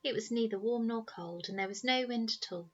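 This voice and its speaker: A woman reading a children's story aloud in an expressive storytelling style, like a teacher reading to pupils. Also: on the high side, fairly quick, lo-fi sound, slight background noise.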